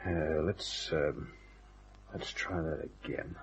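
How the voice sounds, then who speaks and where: A man's voice: rumbling low, steady tone, slow, soft background music, heavily acted. An actor playing a wry, tough private detective in a radio drama, wisecracking and hard-boiled, who also narrates his case. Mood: flirtatious. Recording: dull, band-limited old radio recording.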